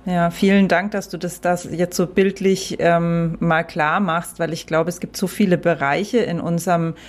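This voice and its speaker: A woman's voice: on the low side, fairly even intonation, normal speed. A woman hosting a podcast interview, giving frequent affirming murmurs and phrasing her questions with searching fillers. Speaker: podcast host.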